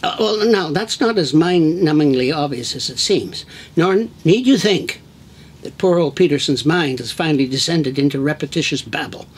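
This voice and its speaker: A man's voice: on the high side, animated, normal speed, slightly rough. Wry, mock-pompous male emcee. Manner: dry playful humor, mock-pompous. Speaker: awards ceremony host. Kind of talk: scripted wry emcee introductions.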